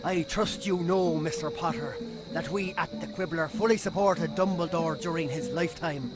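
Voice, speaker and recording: A man's voice: a little high, normal speed, close to an everyday voice, rough recording. A man playing an eccentric middle-aged wizard, formal, pedantic and whimsical, in a video game. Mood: courtly. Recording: game music behind.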